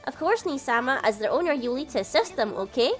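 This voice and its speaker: A high-voiced young sister from a visual novel, acted out by a woman, prim and doting. She explains things politely and proudly, with a giggly touch, over faint music. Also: expressive, fast. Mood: instructive. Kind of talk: visual novel character read aloud.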